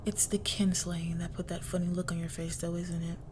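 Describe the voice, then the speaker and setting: A woman playing a crime boss's cold, menacing young daughter in an intimate audio roleplay, speaking in a hushed, deliberate near-whisper. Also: on the low side, flat delivery, noisy background, natural voice. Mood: mocking, teasing. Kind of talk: intimate scripted audio roleplay, dramatic monologue.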